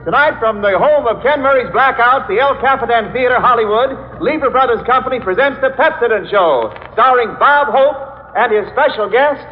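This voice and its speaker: A man's voice: extremely high pitch, moderate pace. A man announcing a variety show from a theater in a 1940s radio style. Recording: dull old broadcast, theater reverb, faint noise.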